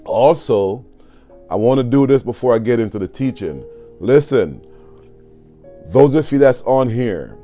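A man in his fifties preaches to an online congregation from a desk webcam, and his voice is strong and loud, with a dark, mellow tone. He talks with exuberance, waving his hands and calling out to the people watching. Faint background music plays behind him. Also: medium pitch, expressive, a little slow, long pauses. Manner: exuberant, gesturing and calling out to viewers. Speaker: pastor. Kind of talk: online church livestream sermon. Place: home studio with city backdrop.

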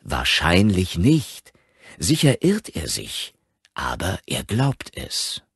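A man voicing an opinionated psychiatrist in an audiobook, lecturing in a measured, earnest, emphatic way. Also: sits low, wildly swooping pitch, vocal fry, breathy edge, dry recording, clean studio sound, heavily acted. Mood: frank, concerned. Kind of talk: audiobook character acting.

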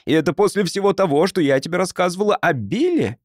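A man's voice: sits high, animated, fast talker, no room sound, clean studio sound, pitched above the performer's own voice. A man voicing a stern, suspicious wife in an audiobook story, pressing reproachfully for answers. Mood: indignant. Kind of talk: audiobook character acting.

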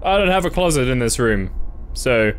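A man's voice: medium pitch, lively intonation, normal speed, a little hiss or hum. A young man doing casual, playful let's-play commentary, reacting and reading game text aloud. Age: young adult. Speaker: gaming video host.

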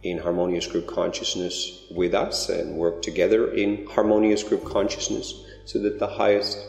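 A man leading a guided meditation in a slow, gentle, drawn-out way. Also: low voice, level tone, gravelly. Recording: roomy reverberant recording with soft music. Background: new-age music.

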